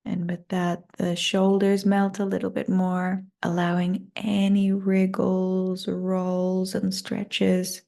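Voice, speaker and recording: A woman leading a guided meditation in a soothing, unhurried and gently inviting manner. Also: mid-range voice, completely flat delivery, vocal fry.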